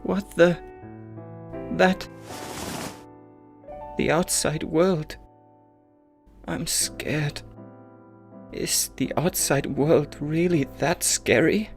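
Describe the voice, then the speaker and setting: A shy, earnest young anime hero played by a man speaks slowly and hesitantly, as if turned inward. Loud music plays underneath the voice. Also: fairly high pitch, steady tone. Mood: fearful, brooding. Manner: hesitant, introspective. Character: gentle, timid, earnest young boy who feels powerless. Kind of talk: anime-style dramatic dub acting.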